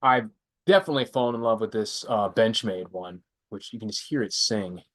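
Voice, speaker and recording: A man's voice: on the low side, fairly quick, a bit bright. A young adult man with a stuffy, congested voice, hosting a casual live stream and rambling through product picks with frequent self-corrections. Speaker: prepper channel host.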